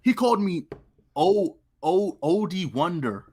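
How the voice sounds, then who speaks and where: Lively, teasing man. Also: high voice, measured pace, husky. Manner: animated, laughing, teasing. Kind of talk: casual live-stream chat.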